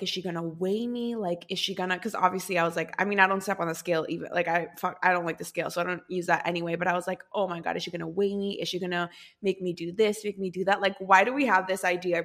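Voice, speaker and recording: Chatty woman podcast host, slightly low voice. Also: fairly even intonation, fast talker, a bit bright. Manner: chatty, rambling, lots of fillers. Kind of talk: casual podcast conversation.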